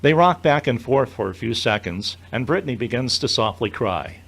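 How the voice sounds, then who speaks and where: A man's voice: medium pitch, expressive, normal speed, gravelly, fairly bright tone. A man narrating the scripted action of an audio drama.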